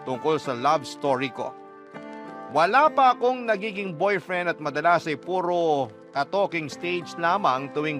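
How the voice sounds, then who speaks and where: A man with a Filipino accent reading a listener's letter in a chatty first-person style for radio. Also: a little high. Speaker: radio drama letter reader.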